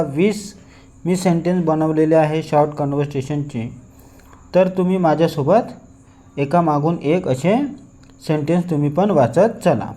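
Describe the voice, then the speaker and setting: A man with a Marathi Indian accent teaching a lesson, deliberately reading out practice sentences for learners to repeat. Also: a little high, warm tone. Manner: deliberate, repetitive, instructing listeners to repeat after him.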